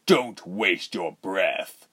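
A man's voice: low-pitched, sing-song, slow, bright, forceful tone, slightly rough, exaggerated voice. A man voicing a cruel, arrogant general in a fantasy storybook, with a menacing, commanding manner. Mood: cold contempt. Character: cruel, arrogant male general, wicked villain.

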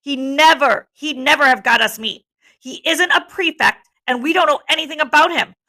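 Woman reading as forceful, resentful schoolboy rebel. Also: on the high side, lively intonation, a little slow, voice pushed up, poor audio. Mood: scornful. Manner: forceful, accusing, declamatory. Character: defiant, resentful schoolboy rival agitating against his leader. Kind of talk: storybook read-aloud dialogue.

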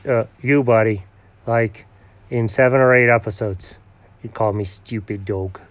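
A man's voice: fairly low pitch, measured pace, no echo, pitched below the performer's own voice, natural voice. A man voicing a simple, good-natured male dog who talks in a dopey, earnest way. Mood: sheepish.

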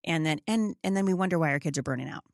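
A woman's voice: low-pitched, very fast, vocal fry, no echo, studio quality. A middle-aged American woman hosting a casual interview, informal and emphatic, often checking in with the listener. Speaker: podcast host, homeschool mom.

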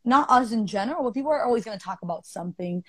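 A woman's voice: on the high side, lively intonation, racing, fairly bright tone, breathy edge, no echo. A young woman from Colombia, speaking earnestly and thoughtfully in casual conversation, with many fillers. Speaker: guest.

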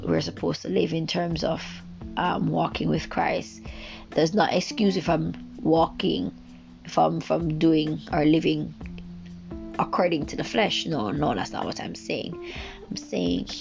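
A woman's voice: lowish voice, vocal fry. A woman with a Jamaican accent giving an earnest, rambling devotional talk, full of fillers and restarts. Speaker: devotional speaker. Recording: dull lo-fi recording with music behind.

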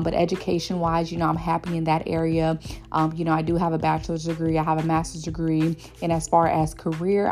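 A young woman, chatting casually on her podcast and calling her listeners "y'all." Her voice sits a little low for a woman, and faint music plays underneath. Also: darkish tone. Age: 20s. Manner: chatty, addresses listeners as y'all, many ums. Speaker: podcast host. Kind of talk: casual solo podcast chat.

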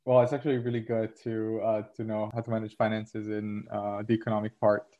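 A man's voice: lowish voice, little pitch movement, a little fast. Young man hosting, lo-fi. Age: young adult. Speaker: podcast host. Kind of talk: casual podcast interview. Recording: dull sound with faint guitar music.

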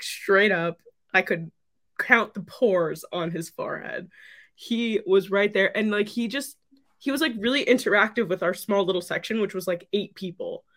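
Hoarse young woman chatting excitedly. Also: medium pitch. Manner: excited, rambling with many likes. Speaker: podcast co-host. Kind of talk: casual chat.

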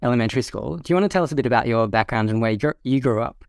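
A podcast host who once taught early childhood, asking questions in a relaxed, hesitant way. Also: medium pitch, rapid-fire, no echo, clean studio sound. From Australia. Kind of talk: casual interview chat.

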